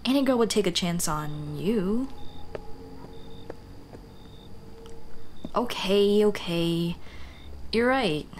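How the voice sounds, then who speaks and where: A woman playing a shy, earnest Dullahan schoolteacher in an ASMR roleplay, speaking softly and expressively. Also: mid-range voice, lively intonation, takes it slowly, slight background noise. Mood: bashful, sweet. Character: shy, earnest female Dullahan teacher, headless monster girl on a date.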